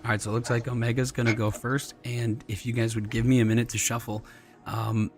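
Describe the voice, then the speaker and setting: A man hosting a casual livestream, his voice slightly scratchy and subdued as he recovers from losing it, hesitant and often clearing his throat. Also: mid-range voice, little pitch movement, fairly quick, a little mellow. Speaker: streamer, host. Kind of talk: casual livestream chat with viewers and fellow players. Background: music.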